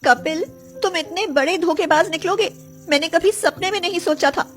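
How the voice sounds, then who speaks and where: A woman's voice: very high-pitched, normal speed, soft background music, pitched above the performer's own voice. A woman voicing a lovesick, wistful young woman from a rich family in a story. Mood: shocked, hurt. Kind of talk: animated story character dialogue.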